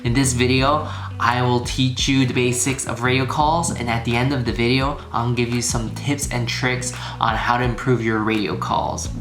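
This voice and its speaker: A man's voice: medium pitch, fairly even intonation, moderate pace. Guy doing a casual how-to video, informal, repeats himself to make points, echoey room, music in the back. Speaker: flying instructor, video host. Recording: roomy echo, music behind. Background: background music.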